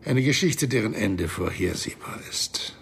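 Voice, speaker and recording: An actor playing a smug, middle-aged interrogator in a crime radio drama, mock-polite and needling in a husky, gravelly voice. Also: male voice, on the low side, lively intonation, unhurried, slight background noise. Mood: smug, condescending. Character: smug, menacing male consultant interrogating his captive.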